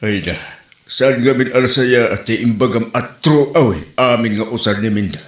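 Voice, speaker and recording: A man's voice: mid-range voice, moderate pace, lightly acted. A man playing a nagging, complaining villager in an Ilocano comedy drama, with a Filipino Ilocano accent. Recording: roomy echo.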